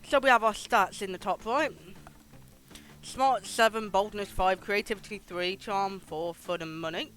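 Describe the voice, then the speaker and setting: A streamer giving live game commentary and reading game text aloud in an animated, giggly way, with casual swearing. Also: mid-range voice, a little slow, low-quality recording. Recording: music behind the voice. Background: game music.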